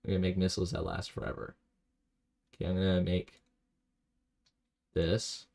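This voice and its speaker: A man streaming a video game, talking to himself in a rambling, low-key way and reading chat aloud. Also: monotone, moderate pace, gravelly, darkish tone. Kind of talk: casual live-stream commentary while playing.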